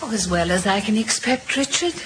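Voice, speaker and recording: An actress in an old radio drama playing a gentle, ailing Victorian wife, apologetic and plaintive. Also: female voice, mid-range voice, animated, brisk, lightly acted. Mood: weary, apologetic. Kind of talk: 1940s radio drama acting. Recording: hissy old radio recording.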